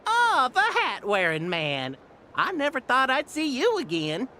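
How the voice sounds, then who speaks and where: A woman's voice: sits high, wildly swooping pitch, a little slow, sharp tone, a little hiss or hum, exaggerated voice. A woman voicing an earnest, uplifting clockwork storybook character in a video game, with grandiose phrasing. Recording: music behind the voice.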